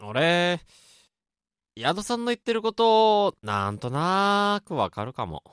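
Male actor as gentle, encouraging younger buddy for radio drama; lively intonation, thoughtful, dry recording. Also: sits high, slow, clear, resonant tone, no echo, full character voice. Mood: earnest, reassuring. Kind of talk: amateur radio drama dialogue.

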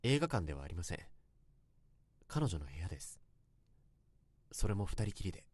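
An actor playing an earnest young office worker who narrates a past infatuation in a radio drama, with polite, literary phrasing. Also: male voice, sits low, sing-song, normal speed, darkish tone, a little hiss or hum. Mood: earnest, confessional. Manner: polite, formal, literary storytelling.

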